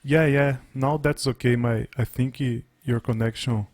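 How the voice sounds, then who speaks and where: A man's voice: mid-range voice, fairly even intonation, moderate pace, a little mellow. Brazilian man, mid voice, friendly learner, stumbles and restarts. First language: Portuguese. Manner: friendly, laughs often, repeats words. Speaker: English learner on a language exchange call. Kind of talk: casual conversation practice.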